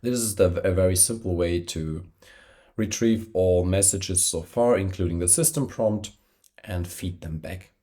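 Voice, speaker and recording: A man with a slight cold, hosting a screen-recorded software tutorial while traveling. He talks with many fillers and self-corrections, using a poor travel microphone. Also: low voice, a little slow. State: has a cold, slightly congested. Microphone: poor-quality travel microphone.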